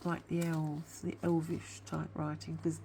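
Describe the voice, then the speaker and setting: Chatty woman, lowish voice. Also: monotone, measured pace, creaky, dark tone, slight background noise. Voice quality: slightly whiny. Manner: chatty, rambling, admits to waffling. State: sore tooth. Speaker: craft vlogger. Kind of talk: casual craft show-and-tell chat.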